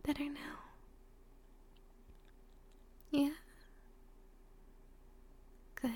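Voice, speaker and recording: A woman playing a tender, emotional young girlfriend in an intimate roleplay audio drama, speaking softly and breathily, often in whispers, with affection. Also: high-pitched, monotone, very slow. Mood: gently calming.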